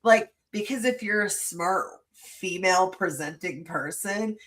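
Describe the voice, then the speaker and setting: A woman's voice: on the low side, unhurried. Brash, laughing woman host. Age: 40s. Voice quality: laughs often, swears freely. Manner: animated storyteller, acts out quotes. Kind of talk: casual chat.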